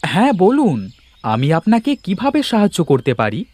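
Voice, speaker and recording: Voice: medium pitch, huge pitch swings, moderate pace, darkish tone, no echo. A performer voicing a kind, dutiful village boy in a cartoon, earnest and eager in manner. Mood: helpful.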